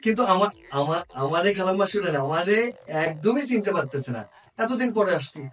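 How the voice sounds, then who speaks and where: Pushy, overfamiliar village guy, animated high voice. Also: a little fast. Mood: chummy. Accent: Bangladeshi. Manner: effusive, insistent, colloquial. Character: pushy, overfamiliar male village visitor posing as old friend. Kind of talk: naturalistic short-film drama acting. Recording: distant mic with background music.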